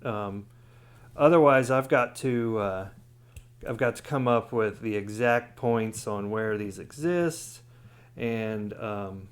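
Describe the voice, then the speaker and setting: A man's voice: on the low side, takes it slowly, clean tone. A man hosting a hands-on DIY tutorial, talking informally and explaining things step by step. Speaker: DIY hobbyist video host. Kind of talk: casual hands-on tutorial talk.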